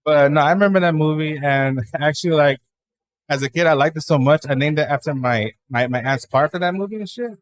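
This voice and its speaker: A man in his twenties from Dallas, a new stand-up comic, chatting casually as a podcast guest with lots of fillers and swearing. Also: a little high. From Dallas, Texas. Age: 20s. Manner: rapid, filler-heavy, profane. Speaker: guest comedian. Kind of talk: casual interview chat.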